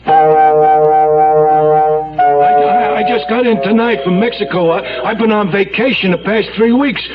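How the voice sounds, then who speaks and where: A nervous lodge owner in a radio crime drama. His voice sits high for a man, and he stammers and hesitates in a flustered way. The old recording is dull, with music behind it. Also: a little slow. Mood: nervous, evasive.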